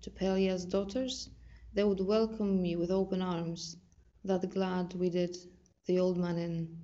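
A betrayed wife pours out sarcastic bitterness, voiced by a woman with a Polish accent. The delivery sits low and fairly flat with a mellow, dark tone. The recording is dull and lo-fi. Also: a little slow, lightly acted. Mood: bitter, sarcastic. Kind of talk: dramatic monologue recital.

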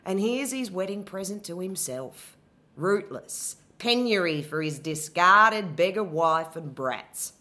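A bitter, betrayed queen, voiced by a woman in her thirties spits sarcasm, dropping to a low mutter and then flaring up loud. The tone is bright and sharp, and the delivery is slow and weighted. It has the feel of a stage monologue performed for an audition. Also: animated, heavily acted. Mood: sarcastic. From Australia. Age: 30s. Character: betrayed furious queen, bitter abandoned wife. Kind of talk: dramatic monologue, audition piece.

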